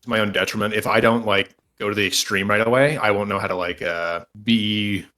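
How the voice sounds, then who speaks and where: A man's voice: fairly low pitch, steady tone, brisk, sharp tone. A young man on a podcast telling stories about himself, rambling with many fillers and poking fun at himself. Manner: rambling, lots of likes and dudes, self-mocking. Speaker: podcast co-host. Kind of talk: casual storytelling chat.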